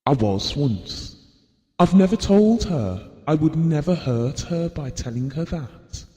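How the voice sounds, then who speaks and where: Devoted middle-aged British husband, audio drama, confessional, mellow, expressive swings in pitch. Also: male voice, takes it slowly. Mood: ashamed, confessional. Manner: earnest, confessional. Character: earnest, devoted, guilt-prone middle-aged husband and father. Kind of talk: audio drama monologue, reminiscing. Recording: reverberant hall-like room.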